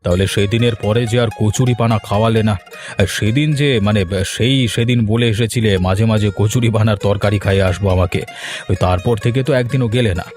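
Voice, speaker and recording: A man voicing a generous, sentimental village gentleman in a cartoon, speaking effusively and benevolently. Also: on the low side, steady tone, a little fast, music in the background, natural voice. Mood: friendly, coaxing. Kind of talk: cartoon character dialogue.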